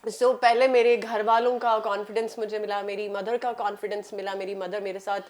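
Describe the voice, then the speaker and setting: A woman's voice: medium pitch, little pitch movement, fairly quick, a little mellow. A young adult woman with a Pakistani accent, speaking earnestly as a talk show guest and mixing in English phrases. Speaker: guest, dubbing artist.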